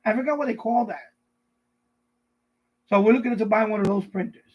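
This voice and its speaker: A middle-aged man with a husky voice chats casually on a live stream, rambling and repeating himself as he answers viewers. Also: high voice, fairly quick, rough recording. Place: basement at home.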